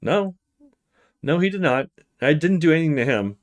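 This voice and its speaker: Man as a young female student, feisty, blunt, sassy, lively intonation, visual novel read-aloud. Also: fairly high pitch, normal speed, voice pushed up, natural voice. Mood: defensive.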